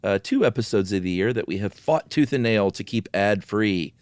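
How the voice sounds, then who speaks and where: A man's voice: fairly low pitch, lively intonation, moderate pace, a little mellow, no room sound. A man hosting a podcast, moving between casual chat and dramatic reading of a spooky story. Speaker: podcast host.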